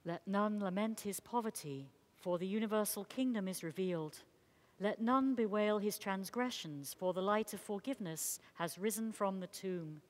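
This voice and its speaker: A woman's voice: medium pitch, moderate pace. A woman reading a homily as clergy, in a solemn liturgical style. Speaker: clergy reader.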